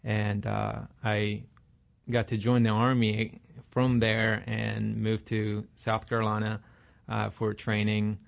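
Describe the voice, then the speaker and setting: Halting, gravelly man. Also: on the low side, monotone. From Iraq. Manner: halting, many fillers and restarts. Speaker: guest, ministry leader. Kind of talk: radio interview answers.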